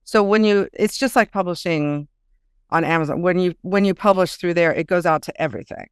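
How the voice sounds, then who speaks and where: A woman's voice: on the low side, fairly quick, clear, resonant tone, no echo, studio quality. A woman speaking as a guest author in an interview, explaining things in an informal, conversational way.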